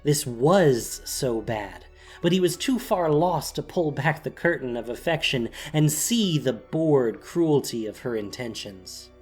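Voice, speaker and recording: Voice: medium pitch, expressive, measured pace, a little mellow, breathy edge, faint music behind. A narrator reading a scripted, dramatic story for an audio drama.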